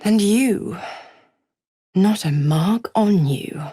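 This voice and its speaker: A seductive, scheming female devil from a video game. She takes her time, savoring each phrase with mocking, silky condescension, and her pitch swoops theatrically. The sound is close and dry. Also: animated, extremely slow, warm tone, breathy edge, no echo, full character voice. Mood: taunting. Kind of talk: video game character acting.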